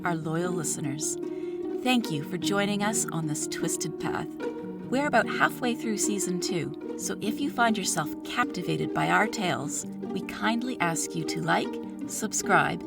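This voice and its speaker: Woman host doing a spooky scripted podcast intro, kinda low n creaky, ominous, loud music behind her.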